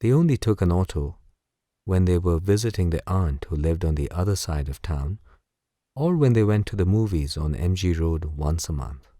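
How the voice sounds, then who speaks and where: A man narrating a bedtime story as guided sleep meditation in a soothing, gentle, unhurried way. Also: sits low, no echo, studio quality.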